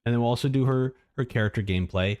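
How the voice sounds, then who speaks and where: A man's voice: medium pitch, rapid-fire, darkish tone, smooth. A man chatting casually and thinking aloud as a live streamer reacting to game trailers. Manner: rambling, informal, thinking aloud.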